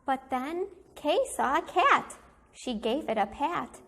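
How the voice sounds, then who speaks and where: A woman reads a picture book aloud as a kindergarten teacher would. Her voice sits high, and she takes her time over each phrase. The delivery is animated storytelling aimed at young children. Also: takes it slowly.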